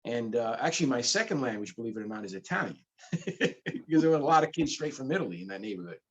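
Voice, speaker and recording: Animated middle-aged man, dull lo-fi audio. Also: mid-range voice, quick pace. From the Bronx, New York. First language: Spanish. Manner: animated, laughs while telling stories. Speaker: comic book publisher, guest. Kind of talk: casual interview storytelling.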